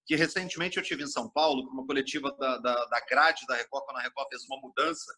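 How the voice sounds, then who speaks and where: Animated Brazilian journalist, husky bright voice. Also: male voice, mid-range voice, fast talker. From Brasília, Brazil. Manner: expansive, animated storyteller, acts out quoted speech. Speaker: TV journalist, interview guest. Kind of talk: casual interview talk.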